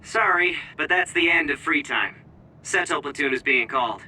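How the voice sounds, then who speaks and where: A woman voicing a brisk military operator relaying orders in an anime-style video game. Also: sits low, animated, bright, forceful tone, slight background noise, exaggerated voice. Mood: apologetic, businesslike.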